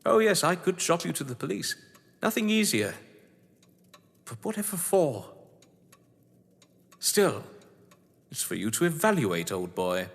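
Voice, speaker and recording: In a long, hall-like reverb comes a man acting a pompous English schemer, a crime writer from an audio play. His voice sits on the high side and swings in pitch as he talks down to his listener with cunning relish. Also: very animated, measured pace, creaky, long pauses, heavily acted. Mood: scornful. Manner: pompous, theatrical, condescending, elaborately wordy. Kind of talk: theatrical audio drama acting.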